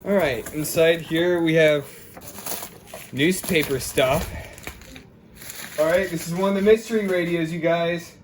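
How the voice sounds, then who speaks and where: A man's voice: on the high side, measured pace, long pauses, slight background noise. A man hosting a casual unboxing video from his room, jokey and chatting to viewers and friends. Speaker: host of a radio unboxing video. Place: a room at home.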